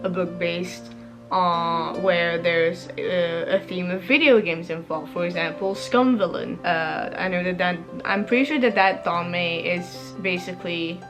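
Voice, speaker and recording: A woman's voice: lowish voice, unhurried, music in the background. A young woman, a native French speaker, casually explaining reading prompts, rambling and correcting herself, laughing often. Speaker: book vlogger.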